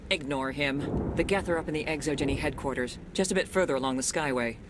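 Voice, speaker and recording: A woman's voice: quick pace, natural voice. An actress playing a trusting, determined middle-aged colonist mother in a video game, earnest and firm. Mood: relieved, firm. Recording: noisy rumbling ambience behind voice.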